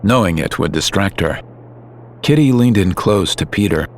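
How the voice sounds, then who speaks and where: A man narrating a story in a calm, measured, scripted way. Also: low-pitched, slight background noise. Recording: music under narration.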